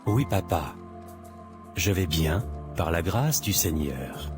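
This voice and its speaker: Earnest romantic lead for animation, male actor, early twenties, polite, notably deep voice. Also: big pitch swings, slow, heavily acted. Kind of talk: animated drama dialogue. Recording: music behind the voice.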